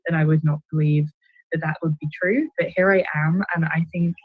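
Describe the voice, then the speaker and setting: A woman's voice: low voice, steady tone, brisk, no echo, phone-quality sound. A woman who works as an astrophysicist, giving a testimonial about her career. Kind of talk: testimonial to camera.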